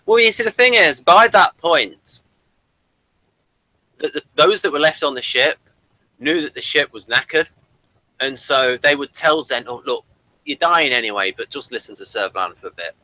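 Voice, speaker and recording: A man's voice: on the high side, animated, normal speed, pauses often, pushed and bright, dry recording, rough recording. A man giving his opinions in casual review chat as a podcast co-host, animatedly acting out imagined quotes.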